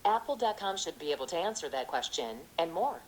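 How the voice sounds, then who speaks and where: A woman's voice: on the low side, sing-song, brisk. Robotic lady phone assistant, polite, sing-songy lilt. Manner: polite, smooth synthetic delivery.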